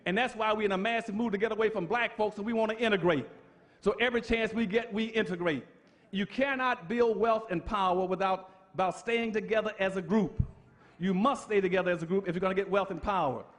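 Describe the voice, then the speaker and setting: A man's voice: sits high, fairly quick. A man giving an impassioned public lecture, emphatic and preacher-like, firing off rhetorical questions.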